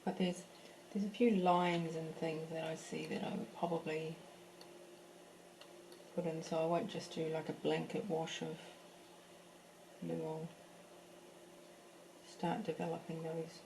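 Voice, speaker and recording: A woman's voice: low voice, little pitch movement, measured pace, long pauses, noisy background. A woman teaching a live painting class from her home, chatting casually as she works and thinking aloud with fillers and restarts. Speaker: art teacher. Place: home in a French village.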